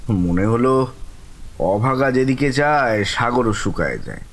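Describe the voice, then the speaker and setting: A man playing a mild, easygoing storyteller who recounts his own eerie night in measured, rueful audio drama narration. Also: mid-range voice, expressive. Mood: rueful, uneasy. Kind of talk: first-person horror audio drama narration. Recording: rain ambience behind voice. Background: rain.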